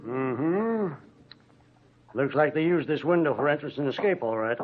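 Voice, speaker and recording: On a tinny old radio recording, a man plays a methodical police investigator. His voice is fairly high and lively, with a businesslike 1940s drama delivery. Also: moderate pace, a little hiss or hum, lightly acted.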